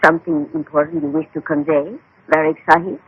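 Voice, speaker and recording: Courteous young woman, very husky, radio drama, formal phrasing, thin phone-like old broadcast. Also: deep voice, flat delivery, fairly quick. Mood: gracious, deferential. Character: beautiful young Indian woman, fortune teller's gracious, deferential sister.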